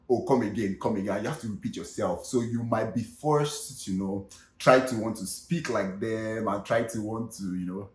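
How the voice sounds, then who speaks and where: A man's voice: fairly low pitch, roomy sound. A young man with a Nigerian Yoruba accent hosting a casual comedic vlog, chatty and full of fillers like "you know". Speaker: vlog host.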